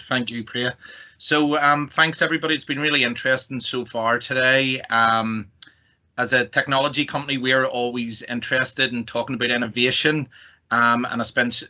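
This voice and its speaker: A man's voice: mid-range voice, sharp tone. A man with a Northern Irish accent giving a semi-formal online presentation as a company representative. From Northern Ireland. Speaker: technology company executive, guest presenter. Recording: dull lo-fi online call audio.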